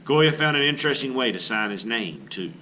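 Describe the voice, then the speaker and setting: An art teacher reads a children's book aloud in a bright, crisp voice. He breaks in with his own asides for the class, and his pitch swings widely as he reads. A faint hiss sits under the recording. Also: a little fast. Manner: expressive storybook reading with teacherly asides.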